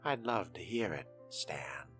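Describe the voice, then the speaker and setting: A deep, unhurried vampire gentleman, played by a man for a game roleplay. He speaks in hushed, breathy tones with genteel, calculating politeness, over prominent music. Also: lively intonation. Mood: polite, probing. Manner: formal, genteel, measured wording. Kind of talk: in-character game roleplay narration.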